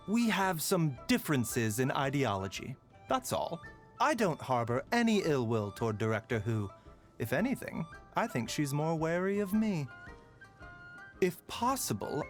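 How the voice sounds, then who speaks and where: A man playing a gentle, courteous pharmacist doctor in a game, speaking in a soft, measured and politely wry manner. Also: on the high side. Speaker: game character voice lines. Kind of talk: scripted game voice lines.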